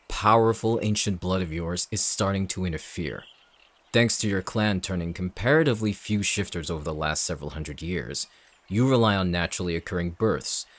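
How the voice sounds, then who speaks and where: Guy acting as a deep voiced smug villain boss, cold n menacing, measured delivery, fantasy audio roleplay. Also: natural voice. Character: cold, calculating male villain leading an anti-shifter operation.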